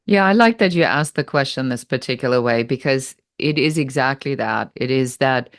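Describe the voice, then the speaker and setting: A woman from Germany with a German accent, answering interview questions in a deliberate, explanatory way. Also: extremely low pitch, animated, dry recording, clean studio sound. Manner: deliberate, explanatory, pace shifts between slow and quick. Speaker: business strategist guest.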